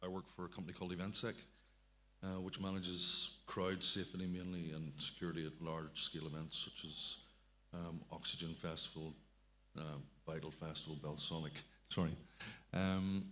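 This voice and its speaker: A man's voice: deep voice, level tone, fairly quick, fairly bright tone. A man with a Northern Irish accent introducing himself as a security manager on a panel, matter-of-fact. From Northern Ireland.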